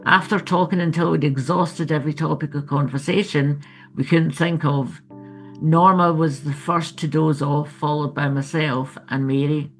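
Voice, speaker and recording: A woman's voice: low-pitched, steady tone, normal speed, soft background music, rough recording. A woman author reading her own book aloud as scripted memoir narration, with ambient sound behind her.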